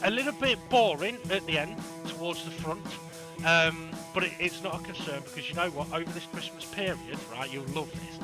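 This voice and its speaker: A man commentating on an online sim race, chatty and excitable, with lots of ums. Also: a little high, fairly quick, rough recording. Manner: excitable, chatty, with many fillers. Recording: loud music under the voice.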